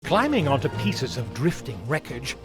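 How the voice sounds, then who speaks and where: A man's voice: medium pitch, very animated, normal speed, over music, lightly acted. A man playing the narrator of a cartoon adventure game, reading scripted storybook narration. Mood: storytelling. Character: male storybook narrator recounting heroes' adventures.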